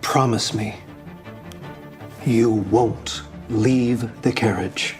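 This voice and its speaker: A man's voice: mid-range voice, steady tone, very slow, soft background music. A pompous, scheming aristocratic father. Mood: coaxing. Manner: interrogating, pompous, tags questions with "hmm". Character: middle-aged aristocratic father, stern, scheming, coaxing. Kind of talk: dramatised audio fiction acting.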